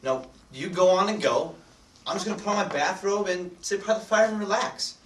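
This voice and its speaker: A man's voice: high-pitched, fairly quick, close to an everyday voice. Man as a stubborn father, echoey stage recording. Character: stubborn, weary father preferring his fireside. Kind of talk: staged readers theatre. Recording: echoey room, distant mic, faint noise.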